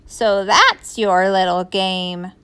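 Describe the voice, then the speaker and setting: A woman's voice: medium pitch, fairly even intonation, slow pace, pushed and bright, clear, resonant tone. A woman voicing a stern, witchy substitute teacher with a scratchy, commanding, menacing delivery for a children's storybook. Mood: sly menace. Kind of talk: children's storybook read-aloud.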